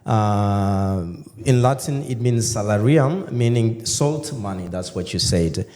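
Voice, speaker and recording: A man's voice: on the low side, slow, darkish tone. A man hosting a casual interview podcast, playful and chatty, with many fillers and restarts. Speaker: podcast host. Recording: echoey room with long reverb.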